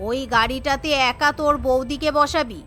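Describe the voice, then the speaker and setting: A woman's voice: high voice, steady tone, moderate pace, sharp tone, faint music behind, pitched below the performer's own voice. Woman voicing a bossy cartoon mother-in-law, commanding. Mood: commanding, stern. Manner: commanding, scolding. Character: imperious middle-aged Bengali mother-in-law, bossy matriarch. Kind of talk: cartoon character dialogue.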